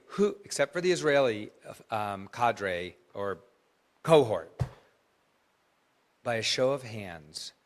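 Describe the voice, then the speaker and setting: A man's voice: lowish voice, a bit bright. A man serving as synagogue president, giving chatty, enthusiastic announcements with joking asides to the congregation. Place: large synagogue sanctuary.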